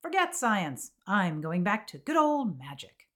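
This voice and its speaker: A woman performs a flighty, theatrical female podcast co-host with grand, absurd conviction. Her mid-pitched voice swoops widely as she argues emphatically in scripted comic banter. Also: very animated, a little slow, fairly bright tone. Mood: manic, insistent. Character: flighty theatrical woman dabbling in homemade magic, zealously absurd.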